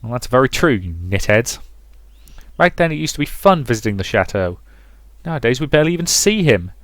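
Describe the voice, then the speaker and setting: A man's voice: medium pitch, sing-song, a little fast, dry recording, close to an everyday voice. A man voicing a brash, sweary, dramatic sixteen-year-old girl in a visual novel, complaining emphatically. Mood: indignant, blunt.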